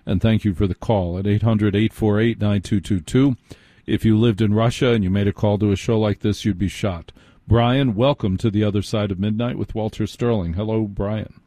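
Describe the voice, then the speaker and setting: An American man hosting a live call-in radio show, blunt and sardonic, quick to cut in. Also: on the low side, steady tone, dark tone, dry recording.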